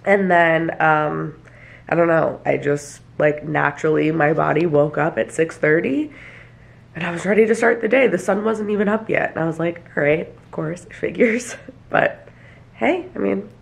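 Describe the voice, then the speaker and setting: A woman's voice: sits low, normal speed, gravelly. A young woman vlogging casually to the camera in her small apartment. She sounds nasal and stuffy from allergies and talks with lots of fillers. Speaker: vlogger and hairstylist. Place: small apartment, kitchen and bathroom. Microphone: handheld camera at arm's length or tripod.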